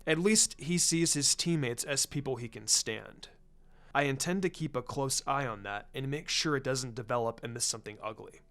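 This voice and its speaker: Man playing a candid, low-key ninja teacher. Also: normal speed, fairly bright tone, soft background music, lightly acted. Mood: concerned. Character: laid-back, candid male ninja teacher reporting on students. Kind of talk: storybook character voice.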